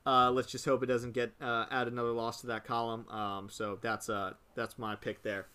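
A man's voice: mid-range voice, little pitch movement, moderate pace, sharp tone, clear, resonant tone. A young man hosting a casual sports-betting podcast chat, loose and joking, with lots of filler "uh"s. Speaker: podcast host running the picks.

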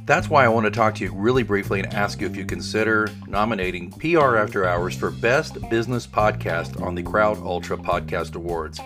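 A man's voice: lowish voice, brisk, few pauses, over music. A middle-aged man hosting a business podcast, making earnest, friendly appeals to listeners and introducing his guest. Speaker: podcast host. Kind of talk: podcast host talk.

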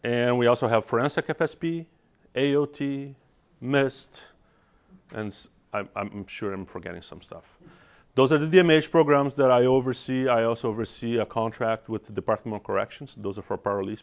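Brazilian-accented man, halting low voice. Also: vocal fry. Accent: Brazilian Portuguese. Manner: halting, many restarts and repeated words. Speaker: regional director of mental health programs. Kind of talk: presentation to an audience. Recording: dull lo-fi sound.

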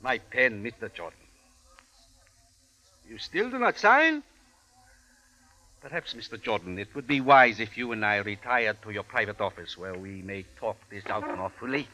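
An actor playing a shady, over-polite insurance man in an old radio drama, speaking with formal, ingratiating precision and quiet insistence. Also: lowish voice, sing-song, moderate pace, long pauses, rough recording. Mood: pressing. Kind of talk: vintage radio drama acting. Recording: vintage radio recording.

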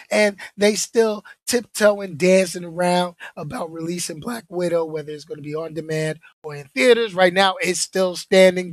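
A man hosting a solo podcast, talking loosely and playfully in slangy, chatty runs. His voice is hoarse from being sick. The sound is close and dry, with no room around it. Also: sits high, no echo. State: hoarse, under the weather. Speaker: podcast host. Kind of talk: casual solo podcast chat.

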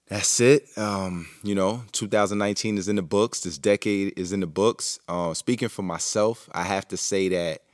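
A man's voice: deep voice, steady tone, normal speed, few pauses, no echo, studio quality. A man hosting his own podcast, talking alone in a rambling, reflective way with lots of fillers and restarts. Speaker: podcast host. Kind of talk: casual solo monologue.

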